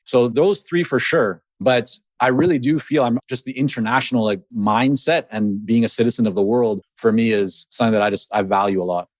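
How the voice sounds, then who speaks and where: A man hosting a podcast interview in a quick, chatty conversational manner, with Hebrew as his first language and a Canadian upbringing. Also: medium pitch, no echo. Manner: quick, chatty, laughs easily. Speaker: podcast host.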